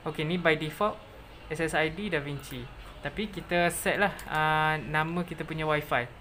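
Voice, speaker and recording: Malaysian tutorial presenter over clear background noise. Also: mid-range voice, moderate pace, sharp tone. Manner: mixes in English technical terms. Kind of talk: step-by-step software tutorial narration.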